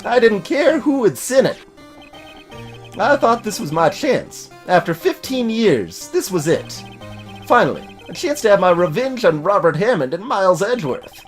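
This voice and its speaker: A bitter old man out for revenge, voiced by a man, speaks dramatically and deliberately. His pitch moves a great deal, with game music playing behind him. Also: very animated. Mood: bitter confession. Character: bitter, vengeful old man confessing in court.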